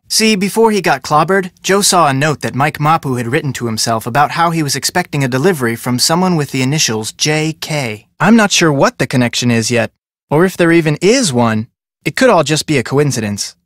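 An actor playing an upbeat, polite but persistent young detective in a video game, with an American accent and a casual, earnest delivery. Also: male voice, a little high, a bit bright, no room sound, studio quality. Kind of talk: scripted video game dialogue.

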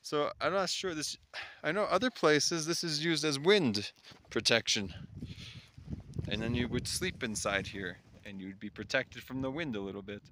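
A man with a mid-pitched voice talks casually to his handheld camera as a solo hiker. He is chatty and given to exclamations of wonder. The outdoor recording is clearly noisy. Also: expressive, moderate pace, sharp tone. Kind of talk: casual vlog narration while filming. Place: outdoors in windy, open mountain terrain. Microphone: handheld phone or camera while walking.

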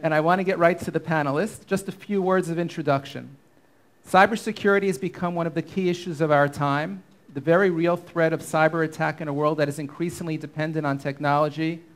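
A man moderating a conference panel, giving measured, formal prepared remarks on a stage with a handheld microphone. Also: fairly high pitch, fairly even intonation. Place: conference stage before an audience.

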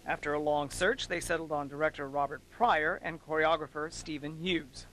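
A man delivering a scripted arts news report as a correspondent, in a measured, polished way. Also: fairly high pitch, fairly even intonation, pushed and bright, slight background noise, poor audio.

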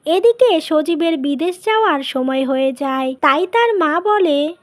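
Very high-pitched woman narrating a story. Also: expressive, measured pace. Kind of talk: scripted cartoon story narration.

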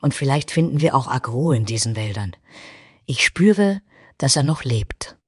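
A man's voice: mid-range voice, big pitch swings, normal speed, husky, no room sound, studio quality. A man playing a loyal, hopeful warrior woman who speaks earnestly and measuredly in a fantasy audio story. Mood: earnest, supportive.

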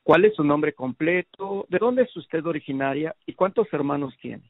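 A man's voice: on the high side, lively intonation, normal speed, dry recording, narrow, tinny audio. A man hosting a testimony channel, politely and affectionately questioning his guest from home. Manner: polite and affectionate, addressing the guest as little sister. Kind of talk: friendly interview.

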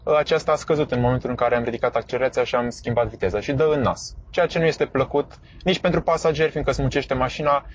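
A man talks calmly from inside a moving car, walking through each step with small pauses and fillers. His pitch sits in the middle, neither high nor low. Faint engine and road noise hums under his voice. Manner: calm, step-by-step explanation with frequent fillers. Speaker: driving instructor vlogger. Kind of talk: explaining while driving.

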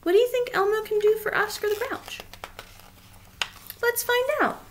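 A woman's voice: very high-pitched, fairly even intonation, normal speed, slight background noise. A woman in her thirties reading a children's story aloud expressively and asking the young listeners questions. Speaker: children's read-aloud reader. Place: small indoor room.

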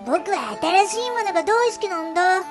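A woman's voice: very high-pitched, very animated, normal speed, music in the background. A woman voicing a fickle, bratty little boy in a cartoon storybook, blunt and boastful. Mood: wishful whining.

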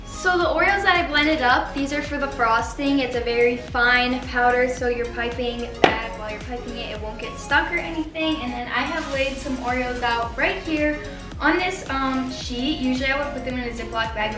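A teenage girl with a high voice talks through her baking steps in a chatty, easygoing way. She is recorded by a camera some distance away in a roomy home kitchen, with music playing underneath. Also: normal speed. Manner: chatty, explains each step while working. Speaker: baking vlogger. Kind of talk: casual baking tutorial. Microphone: far camera on the counter.

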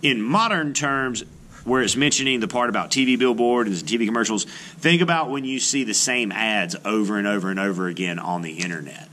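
A man reading a children's book aloud as an art teacher, adding expressive explanatory asides. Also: lowish voice, fairly quick, slight background noise. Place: indoors, book filmed on a table.

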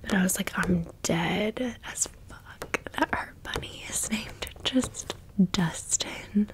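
Female ASMR host, gentle and chatty, whispering throughout at a very unhurried pace. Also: medium pitch. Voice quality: breathy unvoiced whisper. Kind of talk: whispered ASMR product show-and-tell.

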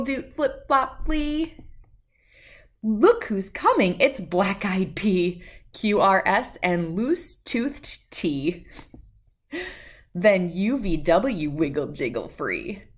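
A woman in her thirties reads aloud for small children. Her voice sits at a middle pitch and she takes her time. The delivery is playful and expressive, with a sing-song rhythm. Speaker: children's read-aloud host. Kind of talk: animated picture-book read-aloud for young children.

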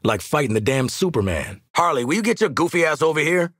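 An actor with an American accent playing a gruff, sardonic assassin in a video game, blunt and slangy, with a raspy voice. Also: male voice, fairly high pitch, very animated, quick pace, fairly bright tone, no room sound, studio quality. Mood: gruff, exasperated. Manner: brash, blunt, street-smart slang, barking callouts. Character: gruff, no-nonsense male assassin, sardonic squad sharpshooter. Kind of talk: video game combat voice lines.

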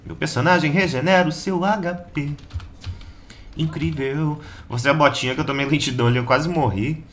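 A slightly hoarse Brazilian man giving a casual, slangy gameplay guide as host of a gaming channel. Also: on the high side, fairly quick, fairly bright tone. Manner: slangy, informal, chatty. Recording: keyboard clatter and background noise.

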